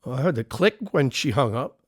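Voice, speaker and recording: A man's voice: mid-range voice, expressive, a little fast, dry recording, natural voice. A man acting a plainspoken war veteran, close and dry. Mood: matter-of-fact. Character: grown war veteran, curious, uneasy, haunted by captivity. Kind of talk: audio drama acting.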